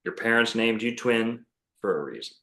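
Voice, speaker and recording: Deep-voiced man in a roomy echo. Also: animated, moderate pace, gravelly, bright, forceful tone. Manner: rambling, self-assured, many fillers and restarts. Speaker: podcast guest, bookstore owner. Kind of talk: casual podcast conversation.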